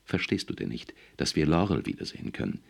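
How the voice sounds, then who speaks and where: A man's voice: a bass voice, big pitch swings, quick pace, vocal fry, exaggerated voice. A man voicing a desperate, stubborn, hysterical grieving mother in an audiobook story. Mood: desperate pleading.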